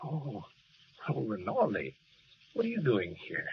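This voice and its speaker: Brash young-hearted American lieutenant. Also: male voice, mid-range voice, wildly swooping pitch, a little slow. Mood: weak, pained. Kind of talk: 1940s radio drama acting. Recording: dull, hissy vintage radio recording.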